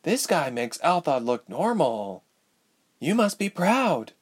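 A man's voice: sits high, very animated, unhurried, pitched above the performer's own voice, heavily acted. A man voicing a defiant, bitter young woman sorceress in a storybook, with a sarcastic, biting manner.

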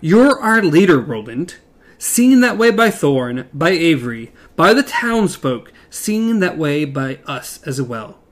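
A man reading aloud as an angry, sarcastic teenage gunslinger boy who shouts and mocks. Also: fairly high pitch, sing-song, slow. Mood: urgent. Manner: bitter, shouting, mocking.

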